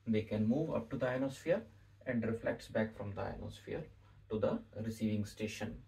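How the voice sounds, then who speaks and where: A middle-aged man with an Indian accent explains as a physics teacher, deliberately and repeating key phrases, in a small room. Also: lowish voice, vocal fry, dark tone. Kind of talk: explanatory whiteboard lesson. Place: small indoor room with whiteboard. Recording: distant microphone in a room.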